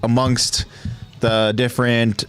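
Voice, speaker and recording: A man's voice: mid-range voice, little pitch movement, slow pace, fairly bright tone. A man hosting a podcast in a casual, informal way, with a slightly nasal voice. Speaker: podcast host. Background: background music.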